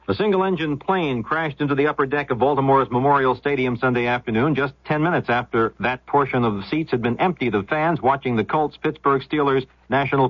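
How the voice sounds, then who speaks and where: A man's voice: mid-range voice, dry recording. A man reading a scripted newscast as a radio news anchor on an old, dull-sounding broadcast.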